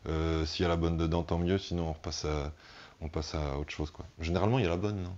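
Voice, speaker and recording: Deep-voiced man explaining thoughtfully, muffled sound. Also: steady tone, fast, darkish tone. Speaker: band musician being interviewed. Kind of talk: relaxed interview conversation.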